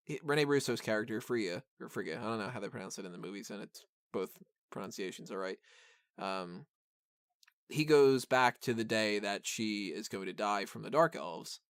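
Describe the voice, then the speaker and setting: Clear-voiced man chatting casually, dry sound. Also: on the low side, moderate pace, dry recording. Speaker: podcast host reviewing a film. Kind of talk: casual film discussion.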